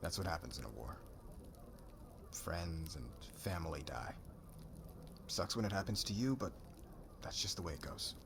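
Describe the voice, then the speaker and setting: A man's voice: low-pitched, creaky, noisy background. A man playing a cocky young warrior suitor in an ASMR roleplay, with a slangy, brash, guarded way of talking. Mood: subdued, guarded.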